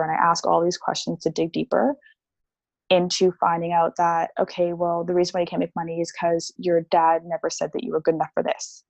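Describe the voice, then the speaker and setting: A woman's voice: lowish voice, creaky, darkish tone, dry recording, muffled recording. A young woman talking as a podcast guest about her healing practice, chatty and casual, with many fillers. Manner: chatty, explains with lots of "like" and "um".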